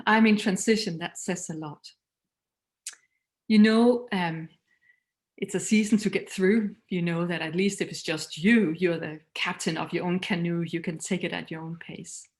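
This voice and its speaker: Earnest Danish-accented woman, measured talk. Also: lowish voice, fairly even intonation. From Denmark. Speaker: mission leader. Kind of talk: prepared talk.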